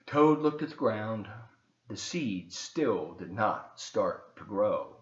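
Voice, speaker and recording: A man reading aloud to children as a volunteer storyteller, expressive and encouraging, with chatty asides. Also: fairly low pitch, slow pace, echoey room, highs cut off.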